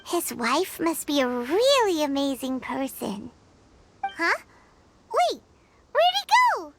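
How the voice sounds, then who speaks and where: A woman voicing a tiny, excitable fairy-like companion in a video game, with a squeaky, sing-song childlike voice. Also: takes it slowly, noisy background, heavily acted. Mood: wary. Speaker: companion character. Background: game soundtrack music.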